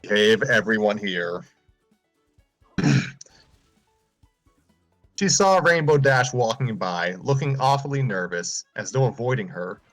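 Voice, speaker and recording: A man's voice: fairly low pitch, animated, slow, pauses often, fairly bright tone, faint music behind, low-quality recording. A man reading fan fiction aloud on a live stream, breaking off for comic asides.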